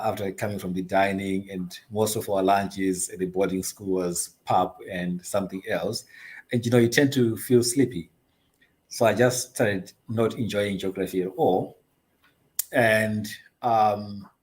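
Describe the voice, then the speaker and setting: A middle-aged man from Zimbabwe, a company chief being interviewed, telling his story in a hesitant, chatty way with frequent ums and light laughs. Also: sits low. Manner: hesitant, many ums and uhs, laughs easily. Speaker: guest, investment advisory CEO.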